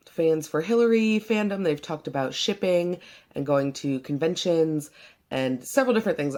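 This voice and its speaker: A woman's voice: low-pitched, a little mellow. A young woman with a congested voice from a cold, chatting casually as a book vlogger and explaining things at length with plenty of ums and uhs. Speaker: BookTube vlogger. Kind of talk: casual vlog commentary.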